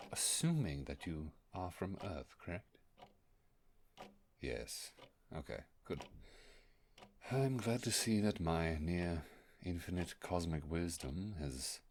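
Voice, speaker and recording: Casting: male actor for an ancient furry monster scholar, extremely low voice, pompous wordy speech that fumbles, audio roleplay, faint background noise. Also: full character voice. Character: ancient scholarly furry creature, bookish, awkward, eager to impress. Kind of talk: immersive roleplay audio monologue.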